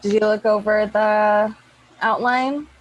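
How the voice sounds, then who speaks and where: A woman's voice: medium pitch, fairly even intonation, slow, no echo, highs cut off. A woman chatting casually as the host organising a group recording from her room at home. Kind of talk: casual chat before a recording. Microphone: small personal microphone on a group call.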